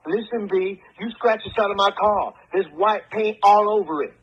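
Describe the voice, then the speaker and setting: A woman's voice: mid-range voice, normal speed, breathy edge, natural voice. A woman playing a pushy, sassy American car owner accusing someone in a scripted prank phone call. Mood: indignant, pushy. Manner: confrontational, sassy, scolding. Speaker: recorded prank-call character.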